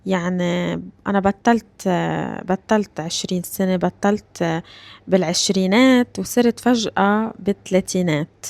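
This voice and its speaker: A woman in her thirties from Lebanon, with a Lebanese Arabic accent, hosting a language-learning podcast. She talks slowly and deliberately, repeats phrases and checks in with her listeners. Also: fairly low pitch, vocal fry, darkish tone, no echo. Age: 30s. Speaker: Arabic teacher and podcast host. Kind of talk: slow, clear podcast lesson for learners.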